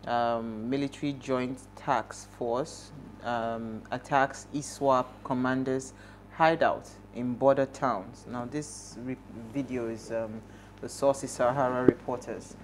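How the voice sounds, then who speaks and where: A woman's voice: rumbling low, steady tone, takes it slowly, a little hiss or hum. A woman with a Nigerian accent giving casual news commentary as a talk show panelist in a studio. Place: TV studio, at a desk.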